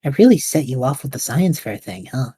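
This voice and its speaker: A woman's voice: very deep voice, sing-song, fairly quick, mellow, husky, heavily acted. Woman voicing a whiny, bored young traveler. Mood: bantering. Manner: whiny, dramatic, rambling. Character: bored restless young male time traveler. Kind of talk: audio drama acting.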